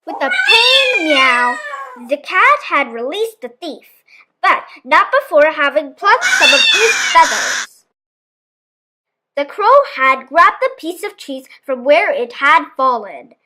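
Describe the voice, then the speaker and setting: A woman storyteller reading a children's story aloud in an expressive, theatrical manner. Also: extremely high pitch, a little hiss or hum, low-quality recording.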